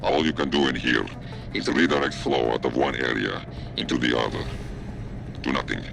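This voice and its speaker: A man playing a cool, calculating hacker informant in a video game, speaking bluntly and cryptically with a deep, gravelly voice. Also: normal speed, exaggerated voice. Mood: grave, knowing. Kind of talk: video game dramatic acting. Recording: music behind the voice.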